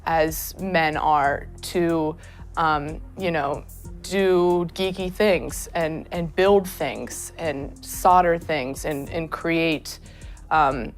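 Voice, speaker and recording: A woman boom operator speaking in a documentary interview, measured and thoughtful, with frequent pauses. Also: steady tone, soft background music.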